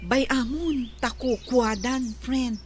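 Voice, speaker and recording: A woman's voice: on the high side, slow pace. A woman acting a concerned young woman friend who confides gossip, in an Ilocano radio drama with an Ilocano accent. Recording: faint noise behind the voice.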